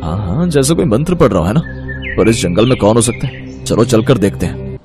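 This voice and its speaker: A man voicing a respectful, earnest and excitable young village farmer in a storybook tale. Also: on the low side, fairly quick, over music, close to an everyday voice. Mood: worried.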